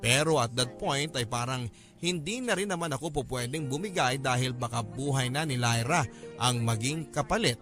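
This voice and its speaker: A man's voice: medium pitch, normal speed, over music. A man reading a first-person letter as a radio drama narrator, in an earnest, respectful storytelling manner.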